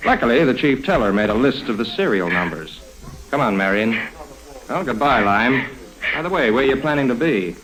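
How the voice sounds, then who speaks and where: An actor playing a blunt, unruffled FBI agent in 1950s radio drama, dry, matter-of-fact and sardonic. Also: male voice, mid-range voice, animated, fairly quick, fairly bright tone, natural voice, low-quality recording. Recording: old 1950s radio recording.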